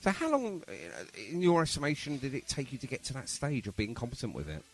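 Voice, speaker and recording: A man's voice: mid-range voice, big pitch swings, fast, rough recording. A man hosting a chatty radio interview in a jovial, encouraging manner. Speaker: radio show host.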